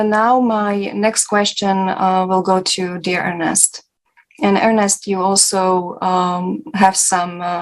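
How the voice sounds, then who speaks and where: Female moderator for an earnest discussion, mid-range pitch, little melodic variation, halting delivery. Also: low-quality recording. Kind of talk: earnest panel discussion talk.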